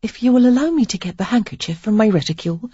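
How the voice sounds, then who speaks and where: Woman putting on a high, timid young lady voice, polite and flustered, kinda husky, dry sound. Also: lively intonation, quick pace, dark tone, no echo. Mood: tentative. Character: sheltered prim young woman of twenty-five, timid. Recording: dry, slightly dull recording.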